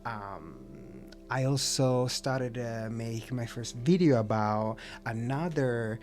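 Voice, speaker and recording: A man's voice: mid-range voice, slow, darkish tone, soft background music. Reflective man vlogging with a slight stutter. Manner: open, reflective, self-encouraging; occasional stutter and restarts. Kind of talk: casual talk to camera.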